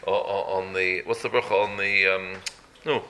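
A man teaching an informal Torah class, halting, repeating words and checking in with "yeah?". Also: low voice, steady tone, sharp tone, a little hiss or hum.

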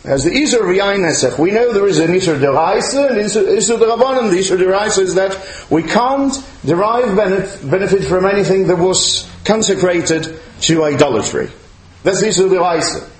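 A man's voice: high voice, animated, a little fast. A man lecturing as a rabbi, teaching religious law in an emphatic, questioning way to listeners in the room. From outside Chile, now living there. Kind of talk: religious lecture to a live audience. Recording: echoey room, faint noise, clicks.

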